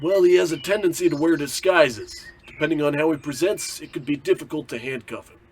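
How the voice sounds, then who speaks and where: An actor playing an earnest, pedantic police sergeant and priest, explaining things in an old-time radio comedy style. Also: male voice, a little high, fairly quick, a little hiss or hum, lightly acted.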